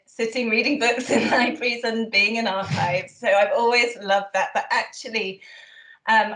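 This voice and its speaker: Lady historian, lots of ums and uhs, thinks out loud, roomy echo. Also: mid-range voice. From Yorkshire, England. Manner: thoughtful, hesitant with many ums. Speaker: guest historian. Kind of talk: relaxed interview answers. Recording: echoey room.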